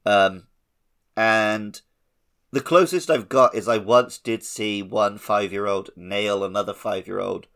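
A man's voice: on the low side, slow, a bit bright. A man co-hosting a podcast in casual, joking conversation with a playful, wry manner. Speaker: podcast co-host.

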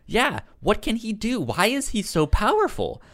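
A man's voice: sits high, huge pitch swings, normal speed, a bit bright. A man co-hosting a podcast, casually discussing comic books, with a stutter that repeats sounds and syllables. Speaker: podcast co-host.

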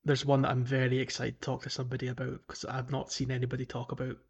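A man's voice: medium pitch, monotone, fast talker, slightly rough. A man chatting casually as a gaming podcast co-host, hesitant and rambling, with frequent chuckles. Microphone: remote call.

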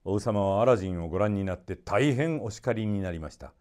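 A man narrating a children's story expressively, in a polite and measured storytelling manner. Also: on the low side, lively intonation, darkish tone.

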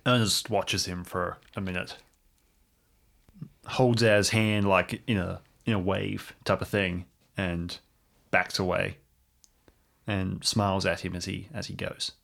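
A man's voice: low-pitched, little pitch movement, measured pace, creaky, fairly bright tone. A man playing in an improvised audio drama, casually improvising dialogue and his character's actions.

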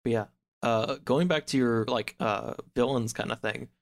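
A man in a casual podcast chat, recapping a story in a halting, rambling way full of filler words. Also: medium pitch. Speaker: podcast guest.

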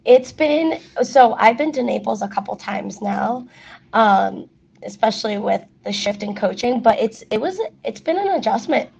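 A woman's voice: fairly high pitch, lively intonation, vocal fry, a little mellow, slightly rough. A young woman whose first language is Spanish, chatting as an interview guest with plenty of fillers. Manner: chatty, many fillers, quick laughs. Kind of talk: casual interview chat.

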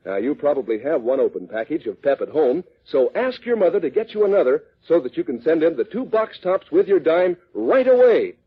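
A man announcing a 1940s radio advertisement for children, as an eager, emphatic pitchman. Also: very animated, fairly quick, few pauses, husky. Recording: lo-fi, tinny old radio recording.

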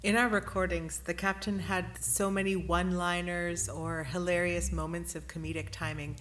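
A woman's voice: fairly low pitch, flat delivery, normal speed, a bit bright, a little hiss or hum. A woman host presenting in a scripted style. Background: music.

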